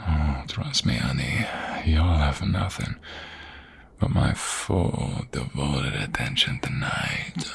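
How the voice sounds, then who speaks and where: A man playing a flirtatious, protective lover in an intimate ASMR romance roleplay, with a husky, gravelly voice. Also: extremely low pitch, lively intonation, slow, a little hiss or hum, heavily acted. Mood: seductive, hushed. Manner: intimate, affectionate, coaxing, one-sided dialogue. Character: flirtatious, protective male lover, tender mobster romance hero.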